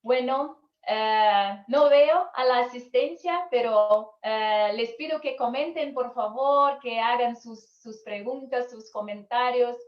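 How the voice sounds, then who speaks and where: A woman's voice: on the high side, takes it slowly, few pauses, roomy sound, dull audio. A Brazilian woman whose first language is Portuguese, giving an online conference lecture in careful, hesitant second-language Spanish over a video call.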